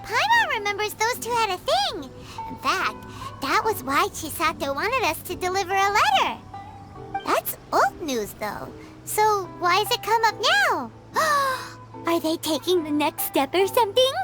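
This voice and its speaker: Squeaky tiny fairy, very high, leaping pitch, chirpy, game music under. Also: female voice, huge pitch swings, a little slow, exaggerated voice. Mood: excited. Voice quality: squeaky, bouncy. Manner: talks about herself in third person. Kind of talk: video game cutscene dialogue.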